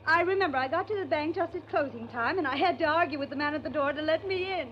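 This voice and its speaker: A woman's voice: sits high, quick pace, lightly acted. An actress playing a flustered, hesitant genteel young woman in a 1940s radio drama. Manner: fluttery, hesitant. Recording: muffled 1940s radio recording, faint hiss.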